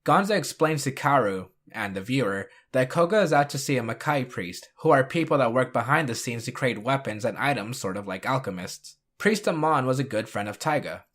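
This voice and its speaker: Mid-pitched man narrating a scripted recap. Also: animated, fairly quick, hardly any pauses, fairly bright tone. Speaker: retrospective video host.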